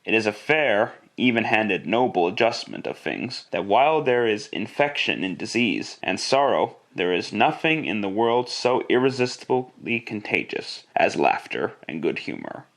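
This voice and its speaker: A man's voice: lowish voice, animated, normal speed, few pauses, a bit bright. A man narrating a literary story in classic radio style, with a theatrical, relishing delivery.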